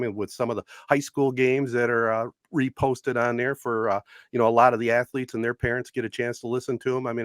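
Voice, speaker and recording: A man's voice: medium pitch, dry recording, studio quality. A man hosting a podcast in a casual, conversational style. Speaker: podcast host.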